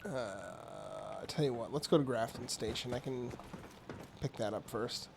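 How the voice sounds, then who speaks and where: A man streaming a game with casual, rambling commentary, hoarse, raspy and a bit mumbly from being sick. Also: medium pitch, expressive, a little slow, noisy background. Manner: rambling, chatty asides.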